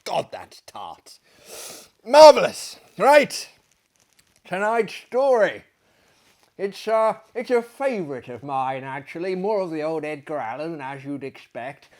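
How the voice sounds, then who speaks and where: Slow, theatrical storytelling man. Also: a little high, big pitch swings. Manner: theatrical, hammy, gentlemanly, measured with dramatic pauses. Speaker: storyteller, host. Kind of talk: theatrical literary reading aloud with chatty asides. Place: small book-lined living room, indoors.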